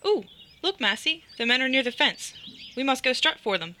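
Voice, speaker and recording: A man's voice: very high-pitched, lively intonation, moderate pace, sharp tone, slight background noise, pitched above the performer's own voice, full character voice. A man voicing a vain, flirtatious, scheming female turkey in an animal story, affected and sugary. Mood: excited, preening.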